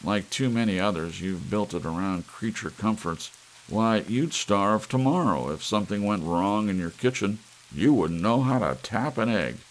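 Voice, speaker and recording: A man's voice: on the low side, expressive, normal speed, lightly acted, low-quality recording. A man voicing a blunt, grave psychologist who warns emphatically, in an audiobook story with rain behind. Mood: stern, urgent. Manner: emphatic, admonishing. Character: blunt, grave male psychologist warning a friend. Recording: audible hiss with rain-like noise.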